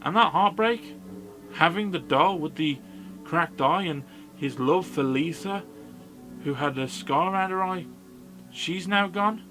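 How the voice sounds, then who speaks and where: Higher-voiced man, blunt film reviewer. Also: a little slow, long pauses, sharp tone. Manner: blunt, sweary, informal. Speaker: film review video host. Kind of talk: casual scripted movie review commentary. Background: background music.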